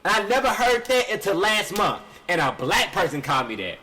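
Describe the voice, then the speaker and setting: Man in his thirties, high voice, very lively pitch, emphatic, repeats himself, casual podcast host. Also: a little fast. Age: 30s. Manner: emphatic, repetitive storyteller.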